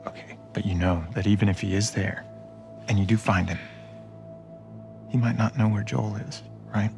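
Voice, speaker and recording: A man's voice: on the low side, steady tone, normal speed, creaky, music in the background. A man playing a wry, teasing young militia fighter in a naturalistic, conversational video game style. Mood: skeptical, concerned.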